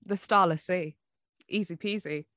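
A woman's voice: lowish voice, a little slow, a bit bright, no echo, studio quality. A woman podcast co-host chatting casually and informally, telling things in a conversational, storytelling way. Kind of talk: casual book podcast chat.

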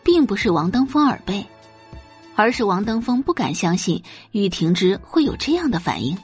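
A woman's voice: mid-range voice, sing-song, moderate pace, breathy edge, soft background music. A woman narrating a scripted audio drama in a dramatic storytelling style.

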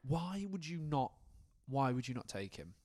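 A man's voice: mid-range voice, very animated, moderate pace, a little mellow, no echo. A man co-hosting a casual football podcast, bantering with dry asides and frequent laughs. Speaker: podcast co-host.

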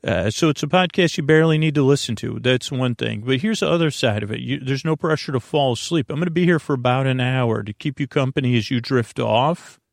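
A man hosting a sleep podcast, talking in a meandering, halting way, often restarting sentences and answering imagined objections. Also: medium pitch, gravelly, no echo, clean studio sound. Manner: voices imagined listener objections and answers them. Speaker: podcast host. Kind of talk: rambling, unscripted sleep podcast introduction.

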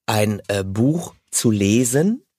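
A man interviewing in a conversational, measured and discursive way. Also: lowish voice, lively intonation, a little mellow, slightly rough, dry recording, clean studio sound.

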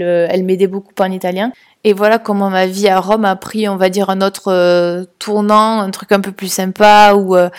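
A woman's voice: mid-range voice, darkish tone. A woman from France talking casually in a personal podcast monologue, hesitant with many fillers and restarts. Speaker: podcast host.

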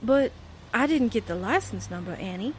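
An actress playing a wisecracking, incredulous newspaper assistant with brassy, slangy manner in a 1940s-style radio drama. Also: female voice, on the high side, lively intonation, normal speed, slight background noise, natural voice, rough recording. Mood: puzzled, surprised.

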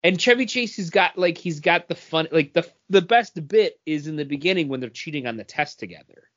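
A man's voice: fairly high pitch, fairly quick, fairly bright tone, no echo, highs cut off. A man co-hosting a podcast, chatting casually and bluntly giving his opinions. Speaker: podcast co-host. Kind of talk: casual comics and film chat.

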